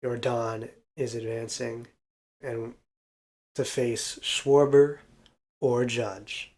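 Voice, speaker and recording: A man's voice: mid-range voice, steady tone, extremely slow, a little mellow. A man casually commentating a baseball video game, counting hits aloud and adding self-deprecating asides. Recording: faint background music.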